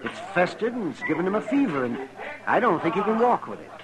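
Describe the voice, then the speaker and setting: An actor playing a fussy, excitable older American doctor in a 1950s radio western, with a folksy, hesitant way of talking. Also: male voice, sits high, fast talker, low-quality recording. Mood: worried, urgent. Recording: old radio recording with crowd din.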